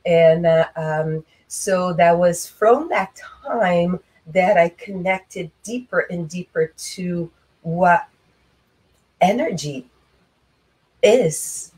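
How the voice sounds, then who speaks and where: Slow, low-voiced woman from Brazil. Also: darkish tone, low-quality recording. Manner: slow, reflective, many fillers. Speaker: guest. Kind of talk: video call conversation. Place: small room indoors. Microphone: webcam on video call.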